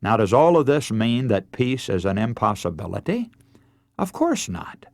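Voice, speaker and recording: Animated male radio preacher. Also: lowish voice, moderate pace, vocal fry, no echo. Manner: earnest, emphatic, oratorical. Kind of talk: radio sermon with scripture readings.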